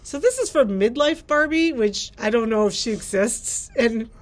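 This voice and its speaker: A woman's voice: fairly high pitch, expressive, moderate pace. A middle-aged woman, a librarian, presenting book picks and reading blurbs aloud into a camera in a library. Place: library room with bookshelves.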